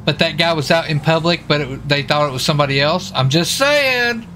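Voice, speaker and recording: A man's voice: a little high, brisk. A man chatting casually in a roleplay game as a US Marshal, rambling and repeating himself. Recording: steady game vehicle noise behind voice. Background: game car and city sounds.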